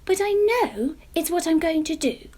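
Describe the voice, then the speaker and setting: A woman voicing Jemima, a young girl pumpkin who is hopeful and tearful, with a high, bright, pleading voice. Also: moderate pace.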